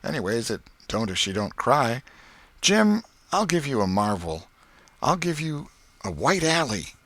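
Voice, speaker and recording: A man's voice: mid-range voice, big pitch swings, measured pace, fairly bright tone, no echo, voice pushed up. A man voicing a scrappy, wheedling young American boy with rustic, slangy, emphatic speech in a storybook reading. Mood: wheedling, cocky.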